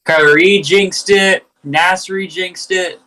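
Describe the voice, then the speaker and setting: A young man bantering as co-commentator after a game, playful and quick to laugh. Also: high-pitched, fairly even intonation, bright, forceful tone, poor audio. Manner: playful, giggly, teasing. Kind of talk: casual post-game banter.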